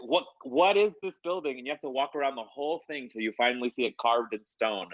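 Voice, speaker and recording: A man's voice: medium pitch, brisk, no room sound, muffled recording. A man, an artist, answering interview questions in a loose, conversational way, with many fillers and restarts. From United States.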